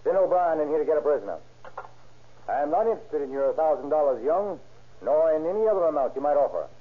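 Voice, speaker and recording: An actor playing a stern, incorruptible police detective in a 1930s radio drama, curt and authoritative, with dry delivery. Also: male voice, on the high side, expressive, fast. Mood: dryly scornful. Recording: scratchy, tinny 1930s radio recording.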